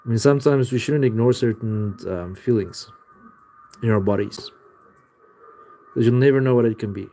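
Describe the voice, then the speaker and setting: A man's voice: mid-range voice, moderate pace, pauses often, mellow. Rambling young man streaming. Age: young adult. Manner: rambling, loose and profane, with many "like" fillers. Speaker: livestreamer and artist. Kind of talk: casual livestream chat answering viewers. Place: home art studio room.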